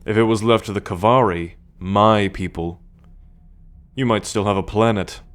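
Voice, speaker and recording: A man's voice: steady tone, lightly acted. A man playing a cocky, teasing male alien scout warrior in an ASMR roleplay, talking straight to the listener with a drawl. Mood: explaining, proud. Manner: teasing, slow and drawling, with low chuckles.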